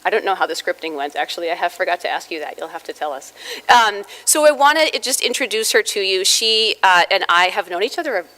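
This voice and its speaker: A woman's voice: medium pitch, expressive, fast, sharp tone, rough recording. A woman family doctor gives chatty welcome remarks to an audience, full of restarts and fillers. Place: lecture room with audience.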